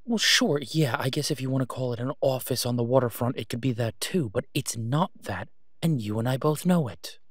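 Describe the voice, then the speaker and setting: A man playing an exasperated, protective vigilante in a phone-call audio roleplay, speaking urgently and emphatically, with pleading pauses. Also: medium pitch, no room sound, clean studio sound, natural voice. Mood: exasperated, warning. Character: exasperated, protective masked male vigilante on patrol.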